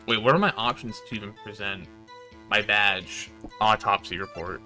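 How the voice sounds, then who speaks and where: Bright-voiced male game streamer, music behind. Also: lowish voice, normal speed. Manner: swears freely, exasperated ranting. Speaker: streamer playing and reading a visual novel aloud. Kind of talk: casual game stream commentary with read-aloud dialogue.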